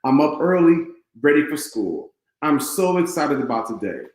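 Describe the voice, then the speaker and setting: A man voicing an excitable, affectionate eleven-year-old boy in an animated, earnest read-aloud of a children's storybook. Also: fairly high pitch, normal speed. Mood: excited. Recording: roomy echo.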